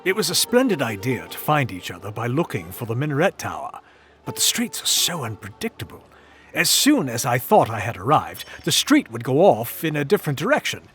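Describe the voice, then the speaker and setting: A man portrays a composed European traveler in an audio drama. He is courteous and dryly witty, with a mid-pitched voice that moves expressively within an understated delivery. Music sits behind him. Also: huge pitch swings, brisk, a bit bright. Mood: mild, chatty.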